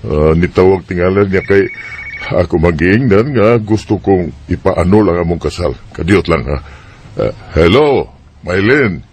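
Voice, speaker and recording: Man playing a husky, weary father. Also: low voice, animated, measured pace, a little mellow, full character voice. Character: tired father facing divorce.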